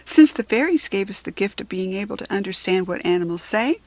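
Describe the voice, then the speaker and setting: An American woman voicing an eager, excitable young girl from a storybook, with breathless enthusiasm. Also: medium pitch, a little fast, no room sound, close to an everyday voice. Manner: bright-eyed, breathlessly enthusiastic.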